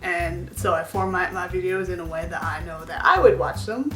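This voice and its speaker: Woman in her twenties, casual vlog talk, candid and rambling, roomy echo, soft music behind. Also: lowish voice, animated, moderate pace. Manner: candid, rambling, many fillers like "like" and "um". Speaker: vlogger. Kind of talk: casual chat to camera.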